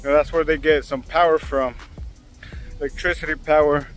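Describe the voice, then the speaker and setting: A male trucker of Mexican background talks loosely to his camera about loads and deliveries, drifting between thoughts with plenty of "you know" and "like." His voice sits a touch high for a man. Faint music plays under the road and vehicle noise. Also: steady tone, a little fast. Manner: rambling, loose, full of fillers and restarts. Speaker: truck driver vlogger. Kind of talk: casual vlog chat.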